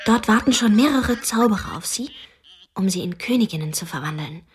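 A woman in a radio drama playing a girl who spins a fairy tale, husky-voiced, animated and fanciful. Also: mid-range voice, fairly quick, crowd noise, natural voice. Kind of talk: radio drama acting, storytelling.

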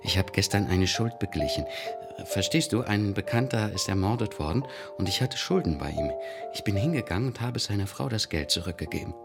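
A melancholy man in a radio play, with a very low voice that still moves around in pitch. He is hesitant and awkwardly tender, and music plays behind him. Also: animated, brisk, few pauses. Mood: earnest. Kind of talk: naturalistic German radio drama acting.

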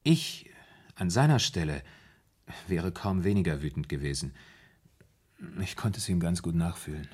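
A red-haired former soldier in a radio play, confides his troubled story with earnest deference. The voice is deep and husky, told like a personal account. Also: lively intonation, moderate pace, long pauses, darkish tone. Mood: reflective, earnest.

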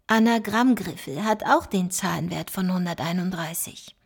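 A woman's voice: on the low side, moderate pace, gravelly, husky. An actress playing a composed, analytical female investigator who explains her findings in a German radio play. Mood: knowing. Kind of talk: German radio play acting, scripted narration.

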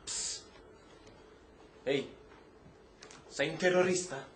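Wry, high-voiced male prisoner. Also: slow pace. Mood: wary, curious. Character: weary, streetwise British-Pakistani male prisoner, wryly curious. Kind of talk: stage-style radio drama acting. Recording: roomy echo with faint noise.

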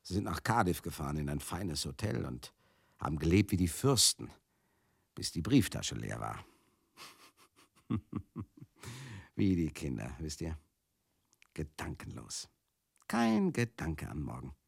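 An actor playing a rambling, excitable manager who reminisces in a confiding, theatrical monologue for a radio play. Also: male voice, rumbling low, gravelly, husky, no echo, clean studio sound, heavily acted. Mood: wistful. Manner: conversational, confiding, theatrical, halting and then bursting out. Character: rambling, excitable manager reminiscing wistfully about old glory days.

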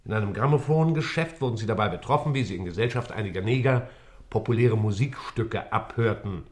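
An actor playing a dry, impatient judge in a radio play, speaking in a clipped, official, matter-of-fact way. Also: male voice, on the low side, a little fast, natural voice. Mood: formal, factual. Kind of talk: German radio play acting.

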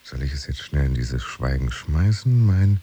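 A man's voice: a bass voice, lively intonation, normal speed, mellow, a little hiss or hum. A man playing an anxious, secretive unemployed husband figure in a radio drama, confiding his thoughts in a hesitant, brooding monologue. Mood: nervous hesitation. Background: music under the voice.

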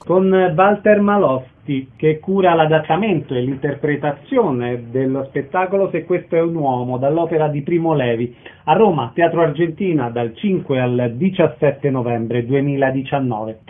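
A man's voice: on the high side, moderate pace, faint music behind. A man hosting a radio interview, putting questions to guests and announcing event dates. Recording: thin phone-like radio sound.

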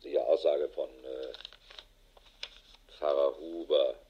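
An actor playing a harried, middle-aged police investigator in a radio drama, matter-of-fact and dry. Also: male voice, a bass voice, fairly even intonation, slow pace, breathy edge, narrow, tinny audio, full character voice. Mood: sober, dictating. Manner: matter-of-fact, dry, clipped official phrasing. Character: harried, world-weary male police investigator with a wife. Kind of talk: German radio drama acting, dictated report.

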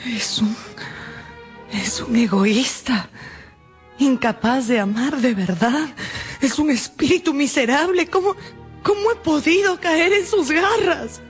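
An actress playing an anguished, devout, betrayed married gentlewoman in a radio drama. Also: high voice, slow pace, faint music behind. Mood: bitter, distraught. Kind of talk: Spanish-language radio drama acting.

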